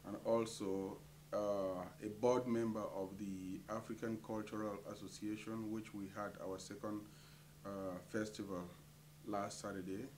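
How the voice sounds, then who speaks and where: A middle-aged man from Cameroon, a community association treasurer, answering interview questions with many hesitant pauses. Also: fairly low pitch, noisy background.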